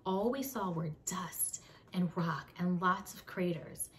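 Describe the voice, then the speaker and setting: A young woman reading a children's storybook aloud in an expressive storyteller style. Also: slow pace. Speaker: children's book reader. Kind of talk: animated storybook read-aloud. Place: small home room with bookshelves. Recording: slightly distant mic, faint rumbling noise.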